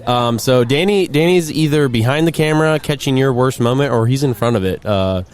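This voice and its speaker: A man's voice: medium pitch, a little fast, smooth. A man in his twenties hosting a podcast outdoors, talking casually with many fillers and easy laughs. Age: 20s. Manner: hesitant, filler-heavy, laughs easily. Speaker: podcast host. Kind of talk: casual chat. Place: outdoors by a racetrack.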